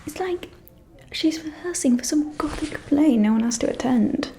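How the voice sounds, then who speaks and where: A woman playing a gossiping, catty aristocratic vampire lady in a whispered ASMR roleplay, with a breathy, confiding, arch delivery. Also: sits high, lively intonation, dark tone, slight background noise. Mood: mocking, disdainful. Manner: slow, confiding, arch, drawling.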